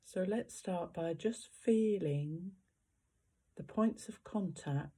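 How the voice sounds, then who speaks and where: Meditation guide: very dark, relaxed timbre, slow pace, gentle instruction with roomy pauses between phrases. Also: mid-range voice, husky.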